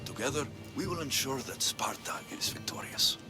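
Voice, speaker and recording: An actor playing a bold, wry mercenary warrior in a video game, with a terse, cool delivery. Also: male voice, medium pitch, animated, moderate pace, whispered, natural voice. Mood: cool, probing. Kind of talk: dramatic video game voice acting. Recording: loud music behind. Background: cinematic music.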